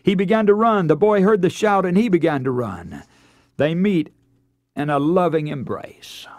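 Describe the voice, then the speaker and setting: A man's voice: fairly high pitch, expressive, moderate pace, a little mellow, dry recording, studio quality. An American man preaching a radio sermon as a dramatic, emotive story, with theatrical delivery and rhetorical questions.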